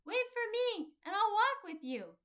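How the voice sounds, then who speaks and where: A woman's voice: very high-pitched, very animated, measured pace, fairly bright tone, voice pushed up, full character voice. A woman voicing an eager, friendly young pilgrim boy in a children's storybook, with an earnest manner. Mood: eager, calling.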